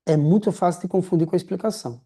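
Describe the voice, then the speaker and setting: A man's voice: sits high, racing, husky. Chatty male teacher. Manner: lively, chatty, slangy, affectionate with students. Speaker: writing teacher. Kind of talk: casual recorded lecture.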